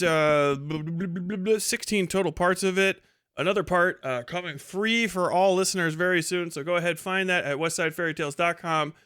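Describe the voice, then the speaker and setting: A man's voice: fairly high pitch, bright, forceful tone, clean tone, no room sound, clean studio sound. An American man hosting a podcast livestream in a casual, irreverent, rambling way, swearing freely as he goes. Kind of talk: casual profane livestream monologue.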